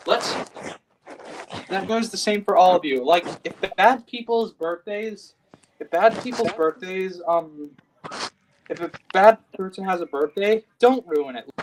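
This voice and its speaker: A man's voice: high-pitched, a little slow, a little hiss or hum, low-quality recording. An eighteen-year-old man chatting casually on a stream, eager and often restarting his phrases. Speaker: guest. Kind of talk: casual chat.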